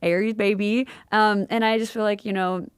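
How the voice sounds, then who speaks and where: A woman's voice: mid-range voice, steady tone, normal speed, clean tone, no room sound. A woman hosting a podcast, chatting casually with her guest in an effusive, affirming way. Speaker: podcast host interviewing a guest.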